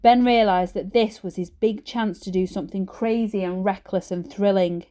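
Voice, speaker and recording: A woman narrating a children's book like a teacher reading aloud, with expressive, emphatic phrasing. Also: mid-range voice, moderate pace.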